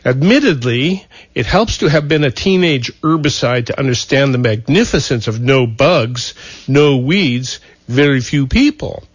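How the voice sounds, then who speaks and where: A man hosting a radio talk show, telling a wry, folksy story with halting restarts. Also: mid-range voice, animated, breathy edge, no echo. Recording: dull lo-fi radio broadcast.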